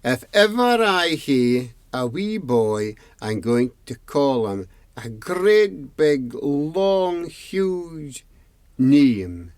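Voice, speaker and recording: A man's voice: on the high side, sing-song, drawn-out words, a little mellow, no room sound, studio quality, full character voice. A man voicing a proud, determined Scottish mother in a folk tale, speaking emphatically.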